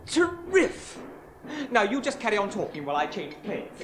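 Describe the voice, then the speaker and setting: A man's voice: high voice, huge pitch swings, moderate pace. An English actor in a 1970s radio play, playing a breezy, teasing male press photographer who bosses his subject about. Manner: breezy, teasing, theatrical exclamations. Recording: hissy old radio broadcast.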